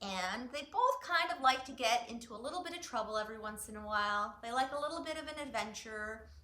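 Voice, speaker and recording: Woman, children's story time host, bright voice, very lively intonation, speaking to little kids, roomy sound. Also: on the high side, quick pace. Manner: animated, addressing young children as friends. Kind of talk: children's story time, talking and reading aloud to young kids. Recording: roomy echo, faint music.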